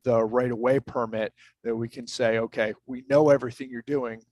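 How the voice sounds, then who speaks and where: Man, meeting chair, measured, procedural, lots of uh's, dry sound. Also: mid-range voice, steady tone, darkish tone, dry recording, rough recording. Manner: measured, procedural, with many fillers. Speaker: board chair.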